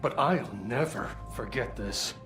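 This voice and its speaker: A man's voice: medium pitch, animated, unhurried, fairly bright tone, faint music behind. A man voicing a bitter, demoted male police desk sergeant in a game, talking defensively. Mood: desperate. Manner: defensive, resentful.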